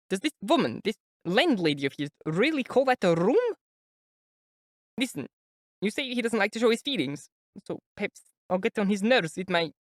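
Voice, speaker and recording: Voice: mid-range voice, no echo, studio quality, pitched above the performer's own voice, lightly acted. A reader voicing an anxious, gushing older mother who talks in breathless exclamations. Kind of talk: audiobook character voice.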